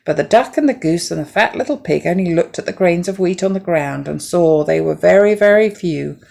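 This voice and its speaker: A middle-aged woman reads a children's tale aloud. Her voice is low, with plenty of fry in it. She keeps a measured, gentle storytelling manner. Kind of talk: scripted children's story narration.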